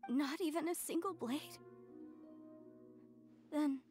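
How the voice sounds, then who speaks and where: A woman's voice: sits high, slow, a little mellow, faint music behind. A woman playing a devout, proud young woman in a video game, with a dramatic, earnest delivery. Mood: stunned, faltering. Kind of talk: English video game voice acting.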